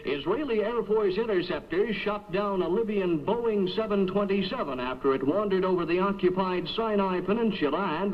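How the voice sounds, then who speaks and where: A man reading a scripted 1970s radio newscast as the anchor. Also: high voice. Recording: dull vintage AM radio aircheck.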